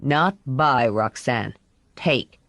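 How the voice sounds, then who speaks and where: A woman narrator puts on a grave, protective father for an audiobook. The man speaks low, with formal wording and measured restraint. The recording is dry. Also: animated, a bit bright, dry recording, exaggerated voice. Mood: grave, gentle. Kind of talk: audiobook character voice.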